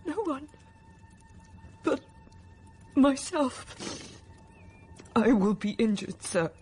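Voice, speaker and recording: An actress playing an earnest, resolute young governess in a period radio drama, restrained but full of feeling. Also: female voice, high-pitched, sing-song, extremely slow, a little mellow, soft background music, heavily acted. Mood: distressed, pleading. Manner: restrained, earnest, emotionally charged.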